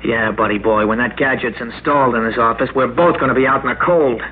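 A man's voice: mid-range voice, fast talker, narrow, tinny audio, close to an everyday voice. Man playing a brash, hotheaded American clerk. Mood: bitter, forceful. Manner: brash, sarcastic, slangy. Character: hotheaded, cynical, defiant male office clerk. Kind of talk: old-time radio drama acting. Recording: thin, noisy vintage radio recording.

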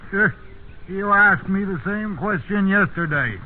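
An actor playing a grumpy old farmhand, gruff and impatient, in a 1950s radio drama. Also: male voice, high voice, unhurried. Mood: grumbling. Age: older. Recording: tinny, noisy old radio recording.

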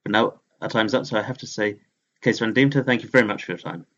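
A man hosting an interview podcast, asking polite, measured questions. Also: on the low side, steady tone, a bit bright, muffled recording. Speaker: podcast host.

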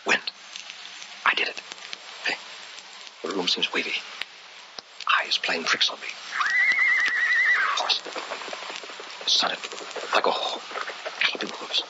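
An actor playing an obsessed, anxious husband who dabbles in the occult, with breathless theatrical delivery in an old radio horror drama. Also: male voice, low-pitched. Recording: muffled old radio recording, clear noise.

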